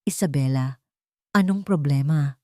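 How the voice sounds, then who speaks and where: A woman's voice: low-pitched, a little slow, mellow, no echo, studio quality. A woman voicing a resolute, protective wealthy wife in an audiobook, anxious but firm, with urgent emotion. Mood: worried. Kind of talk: audiobook character dialogue.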